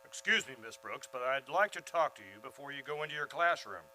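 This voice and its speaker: A man's voice: mid-range voice, animated, a little fast, lightly acted, low-quality recording. A man playing a shy, earnest, bumbling schoolteacher who speaks politely and hesitantly in an old-time radio comedy. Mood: polite, nervous. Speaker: actor in a community radio play.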